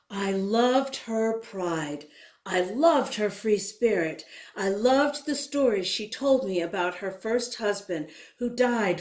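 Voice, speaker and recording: A woman reading a picture book aloud to children, expressively, pausing to speak directly to her young listeners. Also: medium pitch, darkish tone, reverberant. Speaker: children's story reader.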